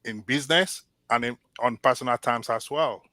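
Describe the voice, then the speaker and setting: A man from Nigeria, a Yoruba speaker by birth, answers interview questions earnestly and with care. His voice is bright and forceful, and the recording sounds close and dry. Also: mid-range voice, no echo. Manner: earnest, measured, with restarts. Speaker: job candidate. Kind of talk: mock job interview answers.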